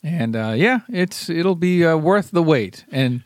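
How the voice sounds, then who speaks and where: A man's voice: a little high, animated, measured pace, a little mellow, no echo, studio quality. A man co-hosting a comedy podcast, enthusiastic and playful in casual chat. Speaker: podcast co-host.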